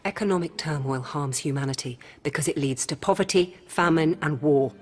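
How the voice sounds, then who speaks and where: Cool, low-voiced female robot hybrid. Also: husky. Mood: insistent, rational. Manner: measured, formal, didactic. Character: calm, coolly rational female robot hybrid in human guise. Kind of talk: radio drama acting. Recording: music behind.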